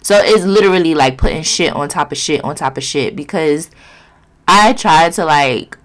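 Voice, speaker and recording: A woman's voice: sits low, normal speed. A woman with a stuffy, nasal voice from a cold, chatting candidly and rambling through a nail tutorial vlog. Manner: rambling, candid, lots of fillers. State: congested, sniffly, nasal. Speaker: nail vlogger.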